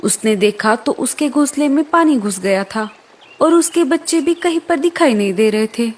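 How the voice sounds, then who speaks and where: High-pitched woman narrating a children's story. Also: expressive, moderate pace.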